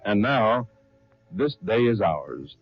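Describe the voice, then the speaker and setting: A man's voice: fairly low pitch, sing-song, slow, husky, faint music behind. A man working as a radio announcer and narrator in 1930s style, reading from a script with theatrical emphasis. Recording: dull, lo-fi 1930s radio recording.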